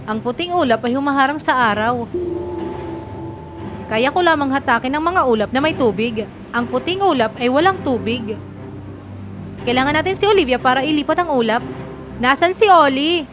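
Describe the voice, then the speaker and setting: A woman's voice: high-pitched, expressive, normal speed, pauses often. A woman voicing a practical, determined young heroine girl in a fairy-tale cartoon, earnest in manner.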